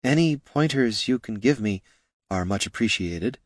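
A man's voice: lowish voice, animated, moderate pace, dry recording, studio quality. A man voicing an awkward young heroine. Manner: awkward, polite, admiring. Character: young eager female mage adventurer.

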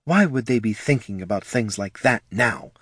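A man's voice: lowish voice, animated, moderate pace, fairly bright tone, slightly rough, dry recording, clean studio sound. A man voicing an indignant, flustered female mage with a defensive manner in a fantasy audiobook.

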